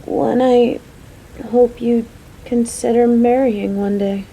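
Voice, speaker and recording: A motherly young-at-heart female coworker, acted by a woman, speaks in a high, mellow voice. She is smitten and fretful, talking straight to the listener with earnest affection, as in a romantic audio drama. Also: fairly even intonation, slow, a little hiss or hum, poor audio. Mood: tender, earnest. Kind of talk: scripted romantic audio roleplay spoken to the listener.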